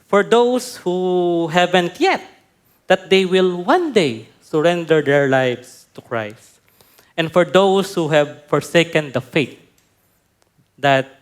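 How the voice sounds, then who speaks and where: A male pastor giving a conference talk, telling a personal story in a slow, reflective way. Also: fairly high pitch. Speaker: pastor, speaker. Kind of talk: sermon talk.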